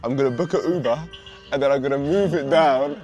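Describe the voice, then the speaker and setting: A man, in casual, excitable banter. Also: medium pitch, fairly quick, soft background music.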